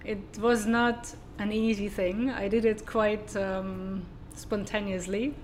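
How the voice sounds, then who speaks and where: A woman's voice: medium pitch, level tone, takes it slowly, slight background noise. A woman from southern Germany with a German accent, speaking as a guest in a casual interview and talking hesitantly with many fillers and restarts. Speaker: guest, sustainability consultant.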